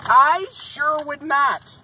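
Hesitant young man with a bright voice. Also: extremely high pitch, drawn-out words, a little hiss or hum. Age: young adult. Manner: hesitant, stalling. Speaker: prankster customer at a drive-thru. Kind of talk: casual, halting ordering. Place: inside a car at a drive-thru. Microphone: handheld camera inside the car.

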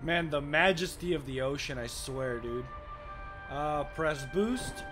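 A young man with a slightly high voice talks casually over a game, his voice worn and scratchy from a sore throat. He sounds easily amazed and chatty. Clear game music plays beneath him. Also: takes it slowly. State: sore throat, voice partly gone. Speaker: gaming YouTuber. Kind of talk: casual let's play commentary. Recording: gameplay music underneath. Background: calm game music.

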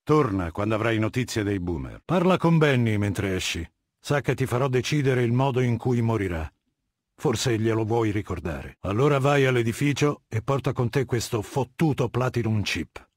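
An actor playing a ruthless middle-aged warlord dictator in a video game, speaking in an imperious, measured, menacing manner with a husky voice. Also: male voice, medium pitch, lively intonation, dry recording, close to an everyday voice. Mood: cold menace. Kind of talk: dubbed video game dialogue.

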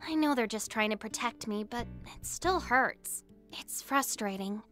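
High-voiced, candid young woman. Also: expressive, a little slow, fairly bright tone, faint music behind. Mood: wistful, resolved. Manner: casual, candid.

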